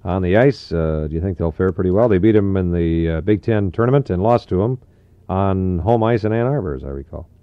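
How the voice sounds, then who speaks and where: A man's voice: low voice, fairly quick, no echo. An American man, a radio host, casually asking questions and chatting about sports. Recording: dull, dry 1960s AM radio aircheck.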